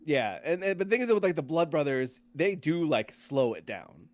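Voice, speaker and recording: A man hosting a podcast in casual chat, often repeating and rephrasing himself in a halting way. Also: a little high, sing-song. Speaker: podcast host.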